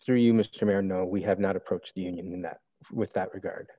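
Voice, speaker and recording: A man's voice: deep voice, fairly even intonation, fast, dry recording. A man, a city director, answering council questions formally through the mayor. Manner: formal, addresses the mayor first. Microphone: video call. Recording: dry phone-like line.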